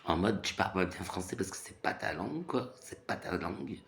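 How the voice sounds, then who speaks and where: Gravelly, animated man from France. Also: low voice, fairly quick. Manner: rambling, animated, repeats phrases with many fillers. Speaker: vlogger. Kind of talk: casual monologue rant.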